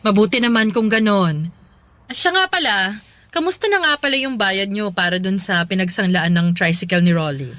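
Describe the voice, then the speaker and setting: A woman's voice: medium pitch, expressive, moderate pace, fairly bright tone, no echo, lightly acted. Chatty, motherly Filipino aunt, played by a woman. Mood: warm, inquiring. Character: kindly, concerned, chatty older aunt Tita Lani. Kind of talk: Filipino radio drama acting.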